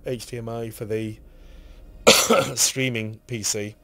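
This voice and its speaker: A man with a husky, hoarse voice from a cough, rambling casually while streaming a game and reading item text aloud. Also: medium pitch, fairly even intonation, slow pace, sharp tone, slight background noise.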